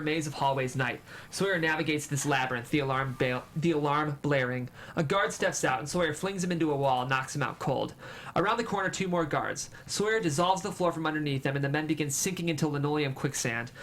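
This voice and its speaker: A man's voice: on the high side, a bit bright. A man reading stage directions as the narrator of a screenplay table read. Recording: faint room noise.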